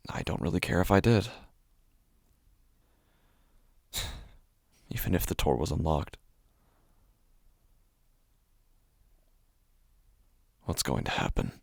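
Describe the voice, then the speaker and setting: A man performs a frightened, sleepless man narrating a waking nightmare for a horror audio drama. His voice is low and gravelly, recorded close and dry. He speaks haltingly and intensely, circling back over his own phrases. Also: a little mellow, no echo, exaggerated voice. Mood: weary, tense. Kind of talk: horror fiction podcast, dramatic first-person monologue.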